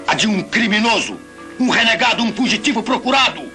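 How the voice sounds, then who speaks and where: An actor playing a hostile, commanding ape general in a dramatic Brazilian TV dub, declamatory and menacing. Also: male voice, high voice, animated, brisk, bright, forceful tone, soft background music. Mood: scornful, accusing. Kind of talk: dramatic dubbed TV series acting.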